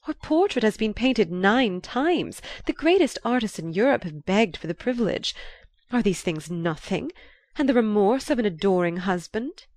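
A woman voicing an effusive, theatrical older marchioness in an audiobook, with a sighing, drawn-out, confiding delivery. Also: medium pitch, expressive, no echo, natural voice. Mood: rapturous, dramatic.

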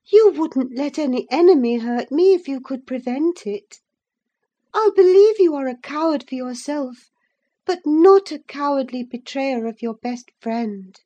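A woman voicing a proud, quick-tempered young gentlewoman who scolds sharply. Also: muffled recording. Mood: urgent coaxing. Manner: indignant, scolding, high-pitched.

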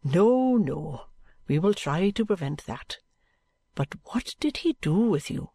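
A woman voicing an earnest, patient gentleman in an audiobook, with a gentle, deliberate, coaxing manner. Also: on the low side, expressive, creaky, slightly rough, no echo, heavily acted.

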